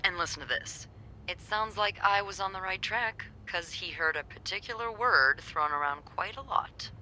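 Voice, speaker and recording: An actress playing a sardonic, playful receptionist in a 1950s hotel mystery game, with a wry, teasing, conspiratorial manner. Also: female voice, medium pitch, moderate pace, bright, forceful tone, slight background noise, rough recording. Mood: gossipy. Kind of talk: video game cutscene acting.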